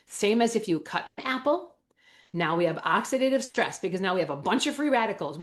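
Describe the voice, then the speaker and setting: A woman with a Wisconsin accent explaining things enthusiastically and emphatically as an interview guest, using everyday analogies. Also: medium pitch. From Wisconsin, United States. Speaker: natural medicine school owner and teacher, interview guest. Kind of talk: animated explanatory interview talk.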